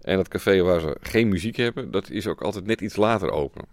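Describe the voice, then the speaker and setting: A man's voice: deep voice, quick pace, dry recording. A man talking casually as a sound designer in an interview, informal and hesitant. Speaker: radio drama sound designer. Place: studio.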